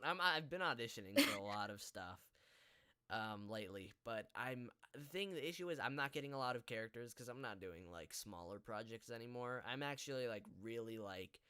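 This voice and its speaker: A man's voice: medium pitch, sharp tone, smooth. A man hosting a casual live podcast, rambling hesitantly and often breaking off mid-thought to restart. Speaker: podcast host and amateur voice actor.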